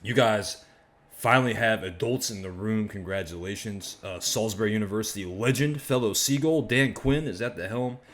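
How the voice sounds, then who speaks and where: A man from Maryland with a very deep, worn-out hoarse voice, chatting casually and energetically as host of his own fan reaction channel. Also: moderate pace. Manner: chatty, rambling, energetic asides.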